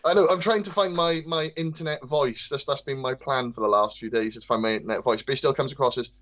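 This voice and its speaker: A man with a Welsh accent, a podcast guest and comic artist, chatting in a soft, light, self-deprecating way. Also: mid-range voice, quick pace, muffled recording. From Wales. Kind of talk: casual chat.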